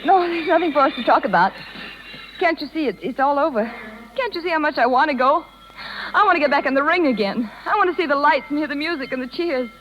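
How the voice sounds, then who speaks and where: High-voiced, anguished young circus rider, old radio. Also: female voice, expressive, fast. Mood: impassioned. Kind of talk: 1930s radio drama acting. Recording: dull, noisy 1930s radio recording.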